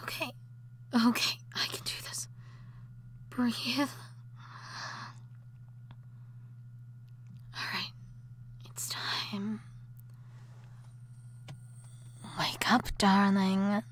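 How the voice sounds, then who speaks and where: A woman voicing an obsessive, jealous wife who has come back from the dead, in an audio drama that moves between intimate whispering and shrill shouting. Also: measured pace, slight background noise. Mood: seething, nervous. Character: obsessive jealous undead wife, possessive and unstable.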